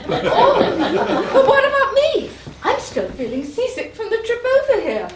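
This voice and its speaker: A fretful young goddess played by a woman on stage, whining and plaintive in a very high, swooping voice. She sounds anxious and exclamatory in live comedy style. The recording is distant, with loud noise. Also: sing-song, a little slow, echoey room, heavily acted. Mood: whiny. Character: fretful, whiny young goddess, Neptune's niece. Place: small playhouse stage, live performance.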